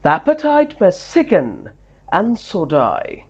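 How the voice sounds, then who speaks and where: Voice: medium pitch, huge pitch swings, slow pace, a little mellow, breathy edge, muffled recording, heavily acted. A performer playing a lovesick, grandly romantic duke in a theatrical Shakespearean dramatic reading, with declamatory, swooning delivery. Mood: lovesick, yearning.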